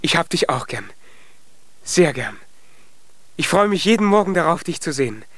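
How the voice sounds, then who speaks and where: An actor playing a small, earnest, naive young giant in a German children's radio play. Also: male voice, fairly high pitch, big pitch swings, normal speed, a bit bright. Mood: shy, tender.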